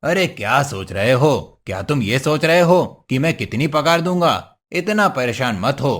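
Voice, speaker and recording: A man's voice: medium pitch, animated, measured pace, a bit bright. A man voicing a sly devil in a cartoon story, coaxing and falsely friendly. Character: sly hoofed male devil posing as generous employer. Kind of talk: Hindi cartoon story voice acting.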